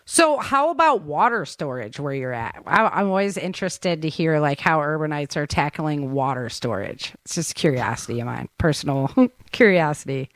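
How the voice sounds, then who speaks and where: A woman's voice: low-pitched, animated, normal speed, dry recording. An American woman hosting a podcast in a casual, chatty, informal and enthusiastic way. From United States. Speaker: podcast host. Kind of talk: casual interview chat.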